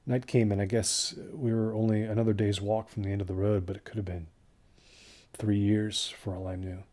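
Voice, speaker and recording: An actor playing a traumatized, exhausted man recounting a doomed desert trek in a halting, hushed horror audio drama narration. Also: lowish voice, level tone, natural voice. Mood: dazed, hushed. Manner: halting, hushed, haunted delivery.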